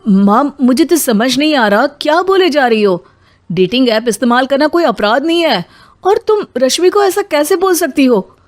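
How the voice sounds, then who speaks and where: A woman voicing an indignant young son who protests and argues in defence of his wife, in an animated family drama. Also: high-pitched, moderate pace, pitched above the performer's own voice. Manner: protesting, argumentative.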